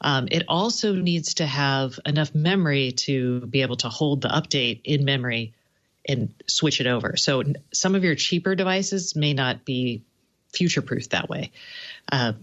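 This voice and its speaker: A woman's voice: very deep voice, moderate pace, dull audio. A woman speaking as a tech expert guest, explaining things in an informal, conversational way. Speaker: tech journalist and podcaster, guest. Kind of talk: casual expert interview talk.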